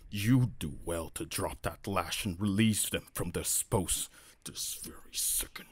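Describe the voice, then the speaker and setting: A man voicing a wrathful, possessive ancient dragon in an ASMR roleplay drama, making booming threats. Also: measured pace, slightly rough. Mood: furious.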